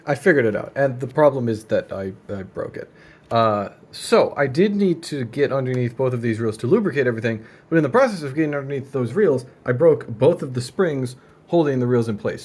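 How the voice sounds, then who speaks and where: A young man talking casually and deadpan as he narrates a hands-on repair, with slangy, wry delivery. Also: mid-range voice, a little hiss or hum. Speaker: video creator. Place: indoor room at a desk.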